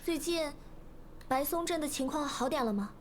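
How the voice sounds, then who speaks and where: An actress voicing an expressive young woman in an anime-style fantasy game. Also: sits high, normal speed. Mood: gentle, earnest. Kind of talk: Chinese anime game voice acting.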